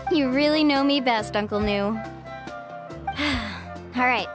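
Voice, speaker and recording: A young woman voicing an eager, determined island guide in an anime-style video game, earnest and expressive. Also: high voice, slow, over music. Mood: earnest, curious.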